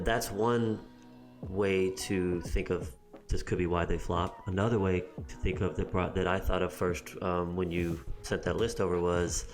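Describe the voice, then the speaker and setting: A man with a very low voice talks casually on a podcast, working his thoughts out aloud and filling pauses with ums. Faint music sits underneath. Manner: thoughtful and rambling, with many ums. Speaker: podcast co-host. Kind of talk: casual chat.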